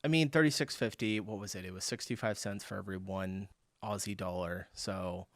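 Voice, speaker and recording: A man from the United States hosting a casual reaction video, reading out viewer comments in a relaxed, chuckling way. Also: fairly low pitch, fairly quick, no room sound, clean studio sound. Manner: laid-back, chuckling, reads out viewer comments.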